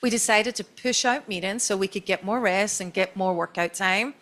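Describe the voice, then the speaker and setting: A woman's voice: medium pitch, moderate pace, a bit bright. Chatty Scottish woman on a stage panel. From Scotland. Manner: chatty, storytelling, self-deprecating. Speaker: chief marketing officer on a panel.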